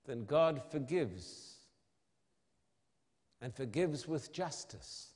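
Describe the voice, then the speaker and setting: A man preaching an evangelistic sermon in a solemn, emphatic manner, with dramatic pauses and rhetorical questions. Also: medium pitch, expressive, darkish tone. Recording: long hall reverb.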